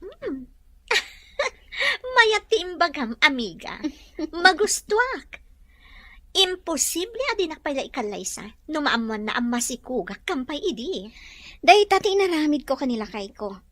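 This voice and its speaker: A woman's voice: high-pitched, sing-song, measured pace, pauses often, a bit bright, dry recording, full character voice. A woman acting a scheming, coaxing friend in a radio drama, talking in a persuasive, conspiratorial way. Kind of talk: Filipino radio drama dialogue.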